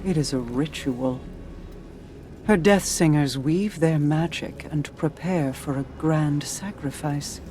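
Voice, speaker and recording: A woman's voice: low-pitched, takes it slowly, dark tone, a little hiss or hum. A woman playing a grave, regal ruler in a video game, speaking formally and solemnly as one bearing dire warnings. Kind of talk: scripted video game dialogue. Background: music.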